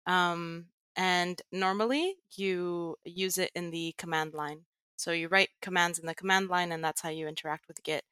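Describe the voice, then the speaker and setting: Woman with an American accent, smooth low voice. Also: steady tone, bright, forceful tone, dry recording, studio quality. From Greece. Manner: explanatory, measured, with frequent fillers. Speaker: guest, tech writer and educator. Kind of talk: podcast interview.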